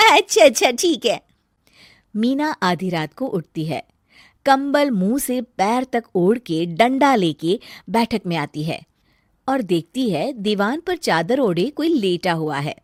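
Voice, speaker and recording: A woman's voice: medium pitch, big pitch swings, takes it slowly, darkish tone, dry recording, studio quality. A woman giving scripted narration for a cartoon story.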